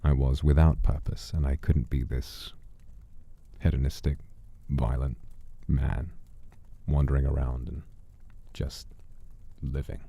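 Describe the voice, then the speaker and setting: Give the stream a man with an extremely low, very dark and mellow voice, playing a centuries-old demon persona. He tells stories in a musing, theatrical manner with a creaky edge, close on the microphone. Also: flat delivery, takes it slowly, dry recording. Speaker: livestream host. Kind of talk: in-character storytelling and casual livestream chat. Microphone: close studio microphone, dry.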